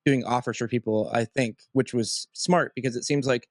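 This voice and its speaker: A man's voice: mid-range voice, steady tone, moderate pace, dry recording, clean studio sound. A man hosting a podcast, asking long rambling questions full of "like" fillers in a casual tone. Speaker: podcast host.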